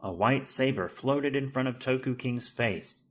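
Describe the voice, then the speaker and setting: A man's voice: medium pitch, a little slow, a little hiss or hum. A man narrating an action audio drama in a dramatic, scripted storytelling style.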